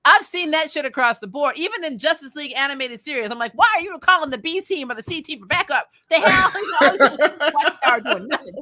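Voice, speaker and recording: Woman, bright forceful voice, close dry sound, sardonic and animated casual podcast talk. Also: high voice, fast, hardly any pauses, dry recording. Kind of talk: casual podcast chat, reading and reacting to comments.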